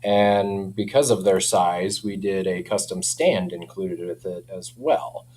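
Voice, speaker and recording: A man's voice: low voice, slow, darkish tone, slight background noise. A man speaking informally as a company representative in a casual product chat, often framing things as personal opinion. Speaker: audio company representative and interview guest.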